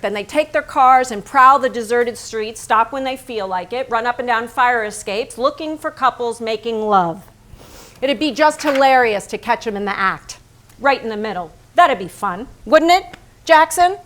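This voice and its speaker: A woman performing as a bitter, possessive painter's wife, with a fairly high voice. She moves between storytelling and dialogue in a staged play reading, sardonic and exasperated with her husband. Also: moderate pace, fairly bright tone, lightly acted. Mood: bitter, wry. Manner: sardonic, biting. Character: Lee, embittered painter's wife, sharp-tongued, possessive, exasperated. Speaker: stage actress. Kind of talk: theatrical staged reading, narration mixed with dialogue.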